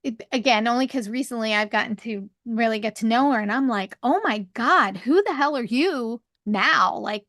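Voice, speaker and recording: A woman with a higher voice, co-hosting a podcast and talking openly in a rambling, candid way. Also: normal speed, few pauses, a bit bright, dry recording, clean studio sound. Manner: rambling, restarts, laughs often. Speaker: podcast co-host. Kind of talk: candid conversational chat.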